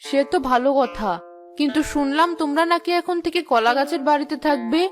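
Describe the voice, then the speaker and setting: A woman playing a high-voiced, gossipy cartoon villager. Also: brisk, faint music behind, lightly acted. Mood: nosy. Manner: gossipy, needling. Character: gossipy, taunting village neighbour's wife. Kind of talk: cartoon voice acting.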